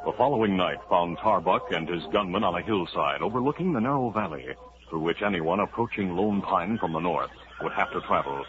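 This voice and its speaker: A man giving scripted, measured narration for a 1950s radio drama. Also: low voice, expressive. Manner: measured storyteller, building to urgency in action scenes. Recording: muffled 1950s radio recording with loud hiss.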